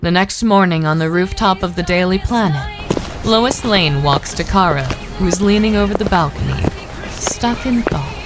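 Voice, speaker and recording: A woman's voice: fairly low pitch, moderate pace, over music. A woman reading scripted narration for an audio drama as the narrator.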